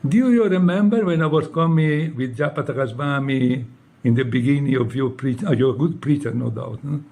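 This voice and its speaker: Man, Italian accent, non-native English. Halting, reproachful direct address. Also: mid-range voice, gravelly, darkish tone. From Italy. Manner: reproachful, pleading, halting English with many rhetorical "no?" tags. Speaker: former devotee addressing a leader. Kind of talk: direct personal appeal to camera.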